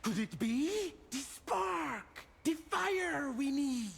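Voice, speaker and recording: An actor voicing an excitable old troll spirit speaker in a video game, dramatic and exclamatory, with clipped phrasing. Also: high-pitched, extremely slow, gravelly, exaggerated voice. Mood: exultant. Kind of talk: video game character acting. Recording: game music under the voice. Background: game music.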